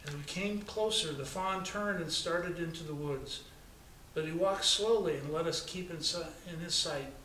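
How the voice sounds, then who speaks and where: An American man, a pastor, reading a children's story aloud in an expressive storytelling way. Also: fairly high pitch, normal speed. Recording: echoey, distant, noisy room recording.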